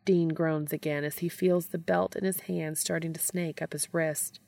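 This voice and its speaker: Woman, low voice, vocal fry, dry close sound, scripted story narration. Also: level tone, normal speed, a little mellow, no echo. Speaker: fan fiction reader.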